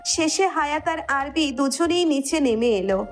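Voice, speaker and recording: A woman's voice: sits high, lively intonation, measured pace, faint music behind. A woman with a Bangladeshi accent narrating a scripted romantic audio story.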